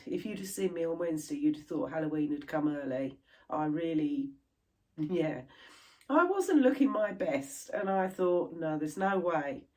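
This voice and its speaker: A middle-aged British woman chatting casually to camera about her crafts, sounding stuffy and a little croaky from a chest infection. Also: low voice, animated, a little slow, darkish tone, poor audio. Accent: British English. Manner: chatty, rambling, laughs easily. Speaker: cross stitch vlogger.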